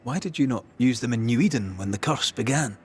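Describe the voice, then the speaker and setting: Game role: wry, no-nonsense working ghost hunter, acted by a man. Mid-range pitch, lively melodic delivery. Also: a little fast, slight background noise, lightly acted. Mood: probing, businesslike. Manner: blunt, wry. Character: plain-spoken, skeptical working ghost hunter in colonial America. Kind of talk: dramatic video game acting.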